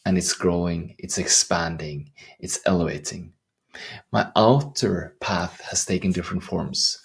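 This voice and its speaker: A middle-aged man with a Norwegian accent talks in a deep, gravelly, husky voice. He is soft-spoken and earnest, circling back over his own phrases in an unhurried way. It sounds like a reflective coaching vlog. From Norway. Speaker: life coach. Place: outdoors in the wilderness.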